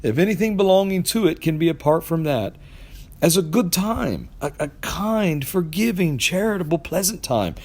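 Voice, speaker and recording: A man's voice: a little high, lively intonation, moderate pace, a little mellow, pitched above the performer's own voice. A man voicing a cheerful, earnest young nephew in a storybook reading, pleading and good-hearted in manner.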